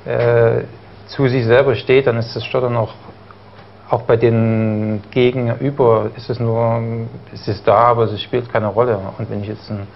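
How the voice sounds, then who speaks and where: A man's voice: medium pitch, little pitch movement, measured pace, gravelly. A young man from East Germany, a talk show guest who stutters now and then and talks with many fillers and restarts. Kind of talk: studio interview. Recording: dull broadcast audio with faint noise.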